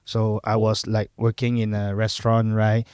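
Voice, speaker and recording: A middle-aged Malaysian man with a Cantonese mother tongue talks through his memories in a relaxed, reflective way. His voice sits fairly low. The sound is dry and close, with no room echo. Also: moderate pace, clean tone. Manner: relaxed, reflective, lots of fillers and "lah" particles. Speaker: guest. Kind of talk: casual interview chat.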